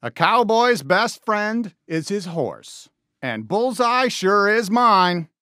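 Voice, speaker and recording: A man's voice: high voice, sing-song, slow, pushed and bright, no room sound, clean studio sound, heavily acted. A man voicing a friendly, upbeat toy cowboy sheriff for a video game, with an American accent and a folksy Western drawl. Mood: easygoing, friendly. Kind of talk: animated video game character lines.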